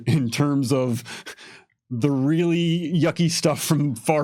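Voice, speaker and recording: A man's voice: medium pitch, no echo, studio quality. A man casually explaining fictional lore as a game developer, unscripted, with emphatic stresses on key words. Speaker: game developer answering lore questions on a live stream.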